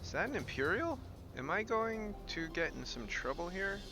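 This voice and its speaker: A man's voice: sits high, big pitch swings, normal speed. A man streaming a game with casual commentary, often thinking aloud in questions. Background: game music.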